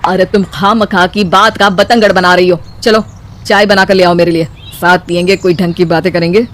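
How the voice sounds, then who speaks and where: A man voicing an easygoing, dismissive young husband in a cartoon comedy story, brushing things aside briskly. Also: high voice, flat delivery, a bit bright.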